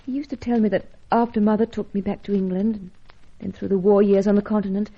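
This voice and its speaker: An actress playing a gentle, helpless young woman in an old-time radio adventure serial, earnest, tremulous and polite. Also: mid-range voice, flat delivery, fast, lightly acted. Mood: earnest, wistful. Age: young adult. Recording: dull, lo-fi radio serial recording.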